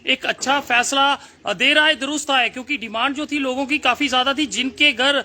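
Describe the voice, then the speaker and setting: Male news presenter, extremely high pitch, piercingly bright tone, emphatic repetitive delivery. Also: brisk. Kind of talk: news report to viewers.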